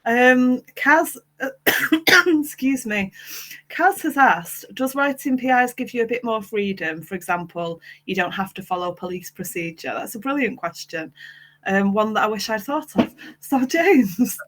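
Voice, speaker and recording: A woman's voice: a little high, lively intonation, unhurried, fairly bright tone. A woman hosting a panel discussion, chatty and a little hesitant with frequent fillers. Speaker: panel host.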